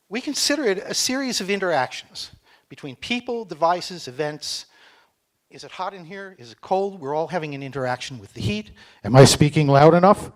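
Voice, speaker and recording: A middle-aged American man from Texas, giving a joking, chatty conference talk on stage and speaking slowly for a foreign audience. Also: a little high. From Texas, United States. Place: conference hall.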